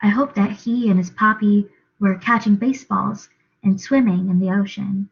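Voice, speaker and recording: A young woman reads a novel aloud in a dramatic storytelling style, at a middle pitch. The recording is dull and lo-fi. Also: normal speed, gravelly. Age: young adult. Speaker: reader, drama student. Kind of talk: dramatic audiobook reading of a novel.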